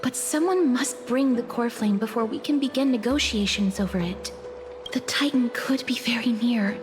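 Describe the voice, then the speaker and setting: An actress voicing a dutiful young female envoy in a video game, speaking politely and earnestly in a measured way. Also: fairly high pitch, close to an everyday voice. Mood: earnest, worried. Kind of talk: dramatic video game voice acting. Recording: music underneath.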